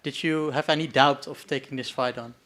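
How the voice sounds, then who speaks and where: A man putting questions to fighters at a press conference, pausing hesitantly as he goes. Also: mid-range voice, steady tone, a bit bright.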